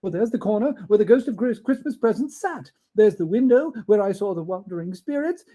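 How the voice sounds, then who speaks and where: A storyteller voicing an elderly former miser, excitable and breathless, stammering through his words. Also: high voice, moderate pace, voice pushed up, close to an everyday voice. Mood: giddy. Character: old man, former miser, giddy and overjoyed. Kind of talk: read-aloud storybook voice acting.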